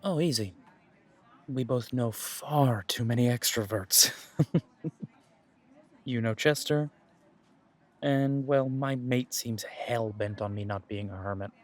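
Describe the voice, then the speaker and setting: A man playing a protective, dutiful werewolf pack leader in an audio drama, speaking in an earnest, measured way with dry humour. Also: medium pitch. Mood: dryly amused. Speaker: voice actor in audio roleplay. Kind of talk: scripted audio roleplay drama.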